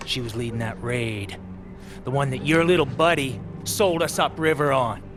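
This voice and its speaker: An actor playing a menacing backwoods raider leader in a video game, speaking in an aggrieved, theatrical, threatening drawl. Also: male voice, medium pitch, moderate pace, music in the background, lightly acted. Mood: wary, threatening. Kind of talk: video game cutscene acting.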